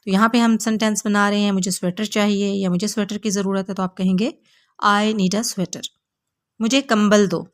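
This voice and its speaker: A woman teaching phrases, saying each one slowly and repeating it before explaining it in Hindi-Urdu. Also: medium pitch. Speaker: English teacher. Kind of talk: slow teaching drills.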